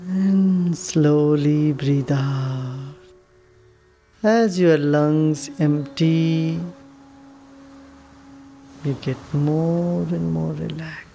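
Slow, low, mellow woman guiding meditation. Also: gravelly, soft background music. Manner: soothing, unhurried, with long pauses. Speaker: meditation guide. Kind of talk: guided meditation instruction.

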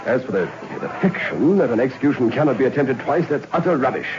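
Man playing a stern, animated prison chief. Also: medium pitch, lightly acted. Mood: firm, dismissive. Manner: brisk, commanding, formal. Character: stern, authoritative male prison chief, unbending and impatient. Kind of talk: 1960s radio horror acting. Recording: muffled, hissy old radio recording.

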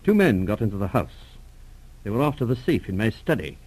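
An actor playing a grave, formal English lord and cabinet minister near sixty in a 1940s radio drama, measured and a little hesitant. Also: male voice, lowish voice, sing-song, a little mellow, breathy edge, low-quality recording. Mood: grave, concerned. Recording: old radio drama recording.

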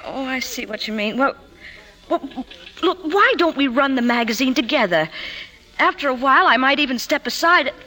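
An actress playing a poised magazine boss who is softening and finding confidence, in a 1950s radio drama. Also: female voice, sits high, expressive, moderate pace, heavily acted, low-quality recording. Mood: earnest, wry. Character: woman magazine boss, poised, softening, newly self-assured. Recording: vintage 1950s radio broadcast.

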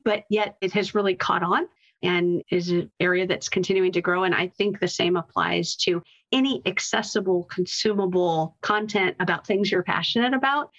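A woman's voice: mid-range voice, fairly bright tone, lo-fi sound. A woman speaking conversationally as an executive guest in an interview.

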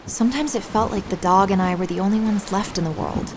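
A woman's voice: mid-range voice, quick pace, background noise, lightly acted. An actress playing a young girl who narrates her survival journey in a reflective, dramatic storytelling style. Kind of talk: scripted first-person story narration. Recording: loud music under the voice.

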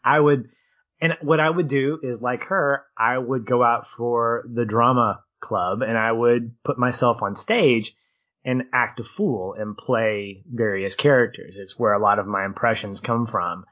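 A man co-hosting a podcast talks through a film in a relaxed, animated way, laughing readily and circling back to restart his thoughts. His voice sits at a middling pitch. The audio is a little dull. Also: a little slow. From Arkansas. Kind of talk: casual film discussion.

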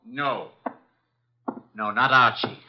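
A menacing criminal in an old radio drama. His mid-pitched voice is curt and insistent, on a lo-fi broadcast recording. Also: fairly even intonation, slow pace. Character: menacing, impatient male intruder with a gun. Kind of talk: 1950s radio drama acting. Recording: dull, lo-fi 1950s radio broadcast.

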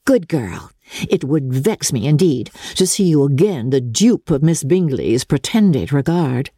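A woman voicing a wry, affectionate, playful young Regency gentlewoman with a teasing, witty manner in an audiobook. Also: sits low, lively intonation, a little slow, slightly rough, dry recording, clean studio sound, heavily acted.

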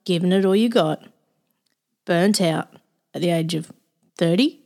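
A woman hosting a podcast, reading a measured introduction and then chatting with her guest. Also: lowish voice, gravelly. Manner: warm, measured intro; loose chat with fillers. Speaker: podcast host. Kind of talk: scripted intro, then interview chat.

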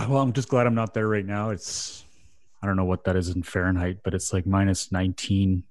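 A man's voice: sits low, steady tone, moderate pace, a little mellow, no echo, studio quality. A Canadian man hosting a radio show, chatting casually and laughing often. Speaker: podcast host.

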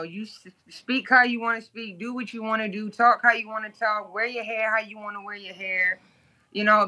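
Blunt, bright-voiced interview guest. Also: medium pitch, low-quality recording. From Toledo, Ohio. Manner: blunt, outspoken, swears freely. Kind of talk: candid interview talk.

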